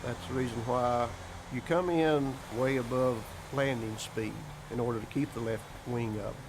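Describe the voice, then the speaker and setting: A man's voice: medium pitch, fairly even intonation, moderate pace, noisy background. A man, an airline captain, recalling events in a documentary interview in a plain, matter-of-fact way with folksy grammar. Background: background music.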